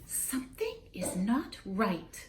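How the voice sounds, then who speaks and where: A woman's voice: medium pitch, huge pitch swings, slow pace, a little hiss or hum, voice pushed down. A woman reading a storybook as an anxious, caring lady in charge of little girls, dramatic and urgent. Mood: alarmed, hushed. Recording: roomy echo.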